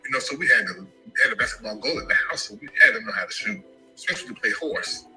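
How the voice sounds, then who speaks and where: A man telling an emotional personal story about his family, animated and given to sudden high shouts. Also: fast, soft background music, low-quality recording. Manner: animated, jumps into high-pitched shouts when quoting.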